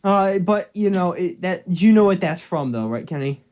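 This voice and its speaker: A man's voice: high-pitched, expressive, moderate pace, darkish tone. Dull-sounding amateur male narrator. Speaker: narrator and co-host. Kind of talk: amateur fan fiction reading with casual asides. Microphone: computer or headset mic on a voice call.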